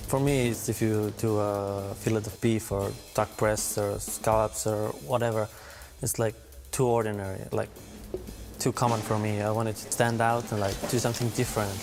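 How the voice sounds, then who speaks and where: A man's voice: lowish voice, level tone, normal speed, a little mellow. A young man, talking casually and confidently as a cooking contestant. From Estonia. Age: young adult. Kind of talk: reality show interview. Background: background music.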